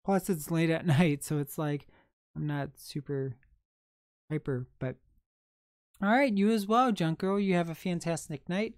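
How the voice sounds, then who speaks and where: A young man hosting a late-night livestream, chatting in a soft, calm, unhurried way. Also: a little high, smooth, dry recording, studio quality. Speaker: livestream host.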